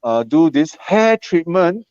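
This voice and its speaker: A Singaporean man hosts a casual live stream in a chatty, encouraging way, leaning on fillers as he talks. His voice sits a little high. The sound is dry and muffled, like a lo-fi stream. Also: big pitch swings, takes it slowly, a little mellow, dry recording. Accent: Singaporean English.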